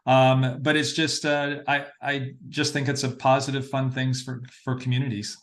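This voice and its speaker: A middle-aged American man from Massachusetts telling his story as an interview guest, pausing often as he finds his phrasing. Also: medium pitch, little pitch movement. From Massachusetts, northeastern United States. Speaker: interview guest, parade producer.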